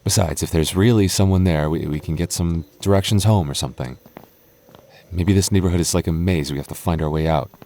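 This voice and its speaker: A man's voice: low-pitched, warm tone, a little hiss or hum. A man playing an anxious, protective goth boyfriend in an audio roleplay, stammering and wry as he talks closely to his partner. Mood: wary, wry. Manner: stammering, halting, nervous, wry.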